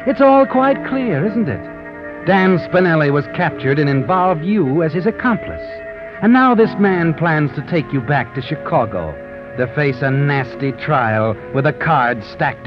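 Male sinister, taunting narrator for 1940s radio drama, slightly high voice, very dramatic swings. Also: sing-song, measured pace, lo-fi sound. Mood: ominous, taunting. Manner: dramatic, insinuating delivery. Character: ominous, taunting omniscient male mystery narrator. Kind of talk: 1940s radio mystery narration in second person. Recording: band-limited 1940s radio with music.

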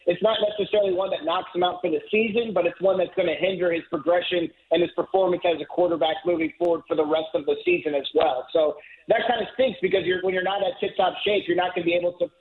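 A man's voice: high voice, fairly even intonation, fast talker. A man calling in as a football writer, talking analytically and fluently, now and then repeating a word as he starts a thought. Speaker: NFL writer guest. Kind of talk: phone-in radio interview. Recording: tinny phone line.

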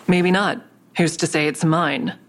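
An anxious woman telling her own story in an audio drama. Her voice is low for a woman. She sounds restrained, guarded and introspective, in both narration and dialogue. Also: a little slow. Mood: guarded, tense.

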